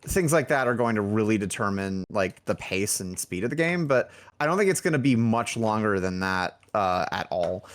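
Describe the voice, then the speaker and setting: A man's voice: fairly low pitch, big pitch swings, moderate pace, hardly any pauses. A man chatting casually as an interview guest, thinking aloud in a rambling way. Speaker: indie game developer, podcast guest.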